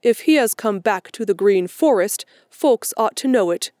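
A woman voicing a mischievous, sharp-tongued male blue jay who talks sharply and teasingly. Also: mid-range voice, lively intonation, moderate pace, dry recording, studio quality, close to an everyday voice. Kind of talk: storybook character voice.

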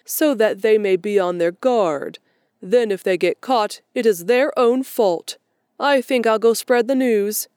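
A woman voicing a mischievous, sharp-tongued male blue jay who talks sharply and teasingly. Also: medium pitch, measured pace, clear, resonant tone, no echo, studio quality, close to an everyday voice. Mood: grave. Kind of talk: storybook character voice.

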